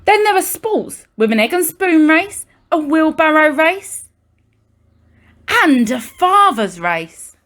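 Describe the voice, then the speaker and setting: Higher-pitched woman reading a children's story. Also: big pitch swings, slow pace, a bit bright. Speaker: primary school teacher reading a story.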